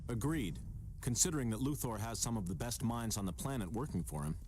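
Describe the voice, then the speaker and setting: An actor playing an earnest, level-headed superhero in a video game, speaking thoughtfully. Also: male voice, medium pitch, animated, fairly quick, noisy background, lightly acted. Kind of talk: video game cutscene acting.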